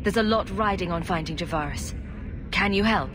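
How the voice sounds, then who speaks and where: A woman playing a calm, diplomatic adventurer heroine in a video game, courteous and direct. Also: on the low side, normal speed, noisy background, lightly acted. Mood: composed, reassuring. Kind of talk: video game cutscene dialogue.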